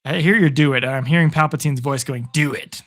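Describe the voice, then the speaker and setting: A man hoarse from hours of talking, giving live play-by-play and chatting upbeat with viewers on his stream. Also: a little high, fast talker, a bit bright. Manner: chatty, upbeat, reads viewer comments aloud. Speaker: sports streamer. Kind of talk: live play-by-play and chat reactions.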